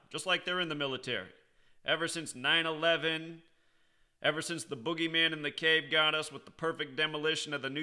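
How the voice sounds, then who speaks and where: A man's voice: on the high side, bright, forceful tone, smooth. An American man giving unscripted online commentary in an insistent, sarcastic manner, repeating key words for emphasis. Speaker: online commentator and video host.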